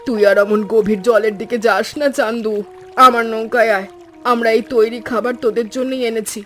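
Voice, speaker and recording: A man's voice: very high voice, steady tone, brisk, fairly bright tone, faint music behind. A man voicing an anxious, caring village wife in a cartoon, with a plaintive, fretful way of talking. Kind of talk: animated moral story dubbing.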